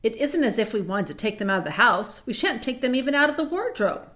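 A woman's voice: on the high side, very animated, fast talker, a bit bright. A woman voicing a proper, sensible schoolgirl sister. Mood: practical.

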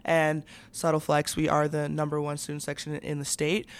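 A young woman in her late teens gives a casual interview. Her voice has a noticeable fry but stays clean and resonant, with fairly flat intonation. She talks in youthful, filler-heavy phrases. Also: sits low, moderate pace. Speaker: student athlete guest.

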